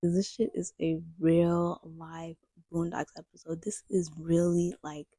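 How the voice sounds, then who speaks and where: A woman's voice: on the low side, level tone, a little slow, warm tone, no echo, studio quality, faint music behind. A young woman host telling a story in a chatty, slangy commentary style. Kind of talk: casual commentary.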